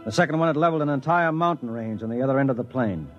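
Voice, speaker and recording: An actor playing a gruff, determined space explorer who narrates and gives orders in a decisive way, in 1950s radio drama style. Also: male voice, mid-range voice, expressive, very fast, natural voice. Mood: grim. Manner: decisive, commanding. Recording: dull old radio recording, loud music.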